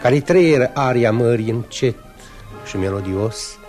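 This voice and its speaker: A man narrating a radio fairy tale in a measured, lyrical way. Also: medium pitch, animated, darkish tone, faint music behind. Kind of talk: scripted storybook narration.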